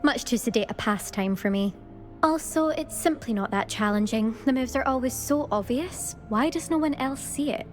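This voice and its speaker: A woman's voice: on the high side, moderate pace, soft background music, natural voice. A woman playing a boastful, blunt, competitive teenage witch in a video game. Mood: cocky. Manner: cocky, blunt, dismissive. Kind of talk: scripted video game dialogue.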